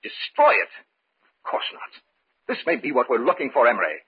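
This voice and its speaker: Confident doctor, very husky, brisk, declamatory old-time radio horror style, muffled broadcast. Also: male voice, medium pitch, huge pitch swings, exaggerated voice. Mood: insistent, dismissive. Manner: insistent, dismissive, declamatory. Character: confident, ambitious male doctor leading secret research. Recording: dull vintage radio recording.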